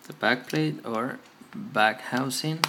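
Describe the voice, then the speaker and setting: A man with as his talks casually to viewers through a hands-on tutorial. His English is halting and full of hesitations, delivered modestly at a middling pitch. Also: creaky, background noise. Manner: hesitant, halting, self-effacing non-native English. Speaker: repair tutorial host.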